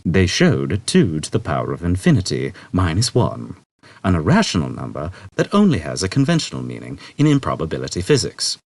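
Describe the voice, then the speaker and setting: Husky man narrating an audiobook, closely recorded. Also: low-pitched, huge pitch swings, fairly quick, a little mellow, no echo. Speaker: audiobook reader. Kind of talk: scripted dramatic narration.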